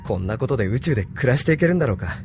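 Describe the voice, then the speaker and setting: A man's voice: mid-range voice, lively intonation, racing, music in the background, close to an everyday voice. An actor playing an earnest, unassuming young man who is new to space, in a Japanese anime audio drama. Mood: queasy, uneasy. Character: young male hero newly in space, earnest and uneasy.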